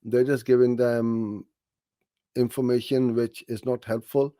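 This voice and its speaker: A man's voice: mid-range voice, flat delivery, a little slow, mellow, no room sound. A man coaching in an explanatory, off-the-cuff way, talking through practical advice with examples. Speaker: digital marketing coach answering a question.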